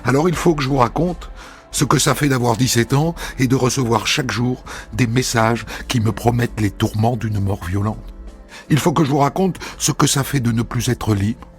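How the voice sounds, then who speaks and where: A man telling a first-person story as a narrator, in a measured, intimate reading. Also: medium pitch. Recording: dry studio voice over soft music.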